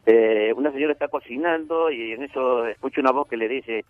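A man calling into a radio show, courteous with a deep smooth voice. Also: a little fast, no room sound, soft background music. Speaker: caller. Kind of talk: phone call to radio show. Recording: muffled phone line.

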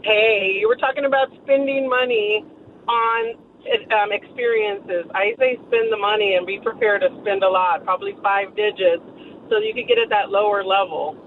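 A woman's voice: medium pitch, steady tone, moderate pace. Woman caller on a thin phone line. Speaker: radio show caller. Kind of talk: casual storytelling. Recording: narrow noisy phone line.

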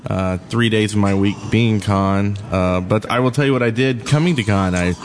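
A man's voice: on the low side, moderate pace, slight background noise. A man co-hosting a live podcast, telling stories playfully between laughs. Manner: playful, giggly storyteller. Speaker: podcast co-host. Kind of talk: casual banter at a live podcast. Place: convention hall, live show.

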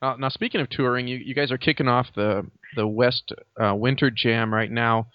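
A man's voice: medium pitch, fairly even intonation, normal speed, no echo, highs cut off. A man asking questions casually as a podcast interviewer.

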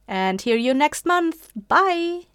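A woman's voice: high-pitched, sing-song, takes it slowly, fairly bright tone, slight background noise. A woman hosting a podcast, talking in a casual, informal and chatty way. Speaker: podcast creator and host.

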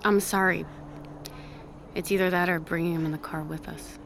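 A woman's voice: on the low side, fairly even intonation, normal speed, creaky, heavy background noise. A woman playing a level-headed, firm hospital nurse in a naturalistic audio drama, clipped and decisive. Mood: subdued, brisk.

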